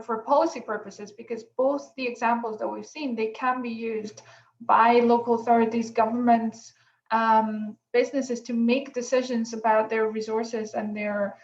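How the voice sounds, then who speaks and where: A woman from Greece moderating an online workshop over a video call, relaxed, with many pauses and fillers. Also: on the high side, a little hiss or hum. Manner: relaxed, many ums, thinking aloud. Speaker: workshop facilitator. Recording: echoey room, dull lo-fi sound.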